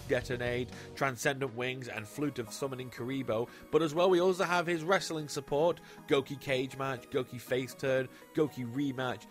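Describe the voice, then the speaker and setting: Male host, mid pitch, casual and upbeat, light music underneath. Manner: chatty and enthusiastic, lists card names. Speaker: YouTube host. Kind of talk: casual card-deck commentary.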